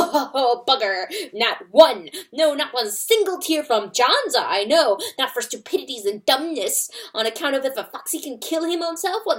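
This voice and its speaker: A woman putting on a furious male weasel, shouting in mangled grammar and pitched well above her own voice. He rants in scornful bursts that swing up and down. This is storybook narration.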